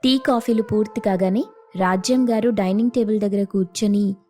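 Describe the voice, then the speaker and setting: A woman's voice: medium pitch, fairly even intonation, brisk, dark tone, soft background music. A woman reading a scripted family story as a storyteller. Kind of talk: scripted story narration with acted dialogue.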